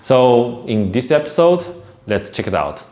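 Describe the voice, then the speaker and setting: A man's voice: mid-range voice, very animated, measured pace, mellow. A man hosting a casual video commentary, talking in a rambling, hesitant way. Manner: rambling and hesitant, with frequent restarts. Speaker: host.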